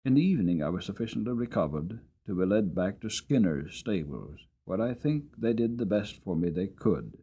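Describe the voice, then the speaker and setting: Man narrating as a weary horse, highly expressive. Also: slightly rough, heavily acted. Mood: earnest, reflective. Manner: earnest, expressive, deliberate. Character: weary, long-suffering male horse telling his life story. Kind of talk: dramatic storybook narration.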